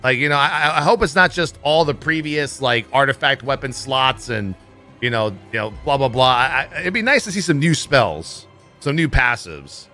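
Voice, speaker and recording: Bright, forceful man commenting on a livestream. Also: medium pitch, animated, moderate pace, soft background music. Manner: blunt, swears freely. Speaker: livestream host. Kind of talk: casual livestream commentary on gaming news.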